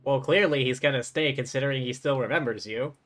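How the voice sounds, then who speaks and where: A man with a mid-pitched voice streams a game and comments casually on it. Game music runs faintly behind him. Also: fairly quick, a bit bright.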